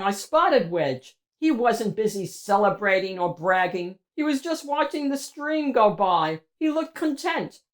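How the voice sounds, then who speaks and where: A woman narrating a children's picture book in an expressive, emphatic storytelling manner. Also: mid-range voice. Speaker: children's read-aloud host.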